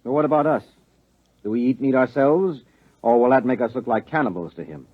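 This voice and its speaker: An actor as a gruff, skeptical policeman husband in a vintage radio play. The voice is husky and mid-pitched with a dry sardonic edge, and the broadcast sounds muffled. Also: a little fast, natural voice. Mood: sarcastic. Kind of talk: 1950s radio drama acting.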